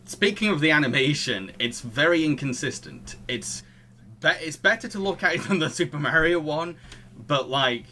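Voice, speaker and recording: Bright-voiced man discussing films casually. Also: on the high side, expressive, normal speed, a little hiss or hum. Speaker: podcast host.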